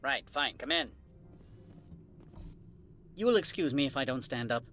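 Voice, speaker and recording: A man's voice: medium pitch, animated, unhurried, a bit bright, soft background music. An actor playing a curt, pompous, dismissive male corporate administrator in a video game. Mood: haughty, impatient.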